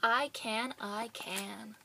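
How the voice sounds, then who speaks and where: A woman's voice: fairly high pitch, steady tone, extremely slow, creaky, sharp tone, a little hiss or hum, exaggerated voice. A woman voicing a small, cheeky gingerbread boy who taunts his chasers in a boastful sing-song. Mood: gleeful taunting.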